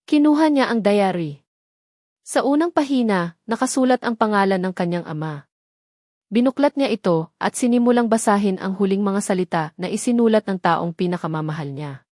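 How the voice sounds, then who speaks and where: A woman's voice: mid-range voice, animated, moderate pace, a little mellow, no echo, clean studio sound. A woman reading a scripted dramatic story as its narrator.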